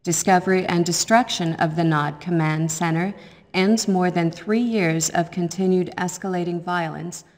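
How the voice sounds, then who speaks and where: A woman playing a serious TV news reporter in a video game, reading in a formal, measured manner. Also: on the low side, steady tone, a little mellow, in a large hall, close to an everyday voice. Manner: formal, measured newsreader delivery. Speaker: news anchor.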